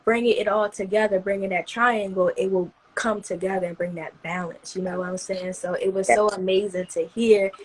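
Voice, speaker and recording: A woman fitness coach hosting a casual live stream, talking in a relaxed, rambling way full of fillers. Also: medium pitch, fairly quick, hardly any pauses, rough recording. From not from the Caribbean. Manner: relaxed, loose and chatty, full of "you know" fillers.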